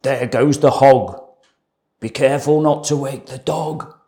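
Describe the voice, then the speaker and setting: A man voicing crafty robbers from a children's storybook, plotting in a furtive, deliberate way. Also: medium pitch, steady tone, dark tone, lightly acted. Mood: confident, sneaky. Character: two crafty male robbers plotting a cow theft. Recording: roomy, echoing room sound.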